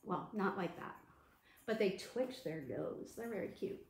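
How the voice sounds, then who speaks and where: A woman hosting an interactive storytime as a children's librarian, talking in an animated, sing-song way to small children. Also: medium pitch, measured pace, echoey room.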